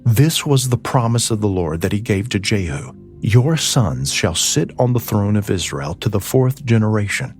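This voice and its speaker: A man narrating scripture as a scripted audiobook reading, with measured, solemn phrasing. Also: on the low side, lively intonation, darkish tone. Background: ambient music.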